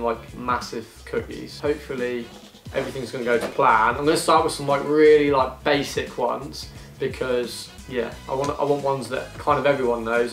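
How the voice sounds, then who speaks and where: A man's voice: mid-range voice, gravelly, a bit bright, echoey room. Chatty young man from England. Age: 20s. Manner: rambling, self-deprecating, chatty while eating. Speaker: YouTuber. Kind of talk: casual vlog Q and A.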